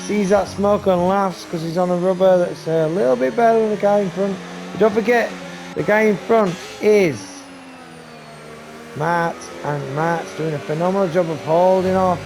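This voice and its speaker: A man calls a sim race live, talking straight to his viewers with a raspy, fairly high voice that is going hoarse. He jumps into sudden excited bursts when the racing gets close. Engine noise from the race plays loudly under him the whole time. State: losing his voice. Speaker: race commentator. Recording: noisy stream with engine sound. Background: race car engine noise.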